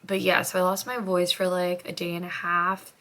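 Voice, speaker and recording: A young woman vlogging as a stay-at-home mom, chatting casually and rambling with lots of "like", her voice slightly hoarse and congested after being sick, sometimes dropping to a soft near-whisper. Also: fairly low pitch, completely flat delivery, moderate pace. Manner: chatty, rambling, heavy use of "like". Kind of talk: casual chat to camera. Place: home, getting ready.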